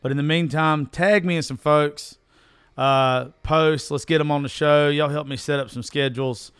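A man's voice: a little high, fairly even intonation, moderate pace, fairly bright tone, smooth, no room sound. Southern guy doing his podcast solo, rambly n folksy, cusses some, voice kinda giving out, real dry close sound. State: voice strained from losing it. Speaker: podcast host. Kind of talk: casual solo podcast talk.

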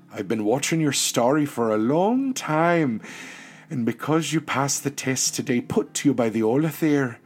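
A man voicing a kindly, solemn goddess of death, soothing and measured, in a storybook tale. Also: medium pitch, darkish tone. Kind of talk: storybook character acting.

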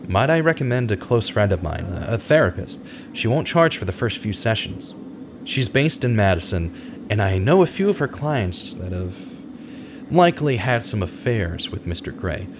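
A man's voice: fairly low pitch, sing-song, normal speed, background noise. An actor playing a brooding investigator in a radio drama, earnest and emphatic as he talks and narrates. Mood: gentle, probing. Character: brooding, angry male investigator hunting an abuser. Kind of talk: radio drama dialogue and first-person narration.

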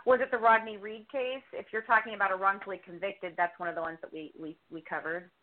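A woman's voice: mid-range voice, steady tone, quick pace. A woman podcaster answering interview questions in a talkative, opinionated way, circling back to repeat her points. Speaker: true crime podcast co-host, interview guest. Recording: thin, phone-like line.

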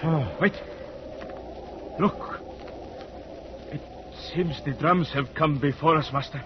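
A man's voice: on the high side, noisy background. An actor in a 1940s radio drama playing a grave, deferential local chief who speaks formally to his master. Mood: steadfast. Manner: formal, respectful, measured. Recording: muffled 1940s radio recording with music.

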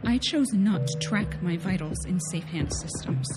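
An actress playing a stubborn, indignant shopkeeper in a science fiction audio drama, exasperated and defiant. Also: female voice, fairly low pitch, animated, measured pace, over loud music. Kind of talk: sci-fi audio drama acting.

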